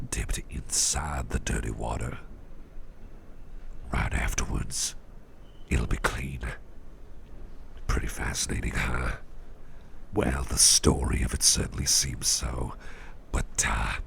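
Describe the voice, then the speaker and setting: A man performing an ASMR roleplay as an attentive boss, speaking in a gentle, reassuring, breathy half-whisper with an unhurried delivery. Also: rumbling low, a little hiss or hum. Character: soft-spoken, attentive businessman boss who researches legendary artifacts. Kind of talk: ASMR roleplay, intimate one-sided chat.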